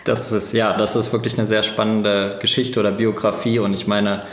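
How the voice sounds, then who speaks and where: A man's voice: on the low side, little pitch movement, normal speed, roomy sound. A man hosting an interview, asking long, winding questions full of fillers. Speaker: podcast host.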